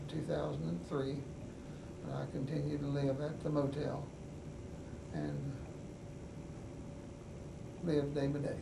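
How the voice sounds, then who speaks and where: Halting older West Virginian man, gravelly. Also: fairly high pitch. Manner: unhurried, halting, many pauses and fillers. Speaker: veteran interviewee. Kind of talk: oral history recollection. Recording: echoey room, mic at a distance, noisy.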